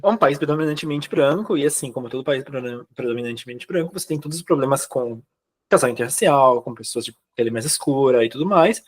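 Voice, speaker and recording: A man's voice: medium pitch, fast. A Brazilian man speaking Portuguese with a Brazilian accent, casually recounting personal experience as a guest in a conversation. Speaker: guest, immigrant to Uruguay.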